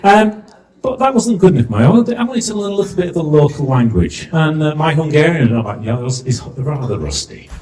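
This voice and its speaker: A man's voice: fairly high pitch, big pitch swings, a little fast, a little mellow. A middle-aged man with a Yorkshire accent compering a live event, chatty and jokey, asking the crowd questions. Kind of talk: live stage compering to an audience. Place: event stage before a live audience.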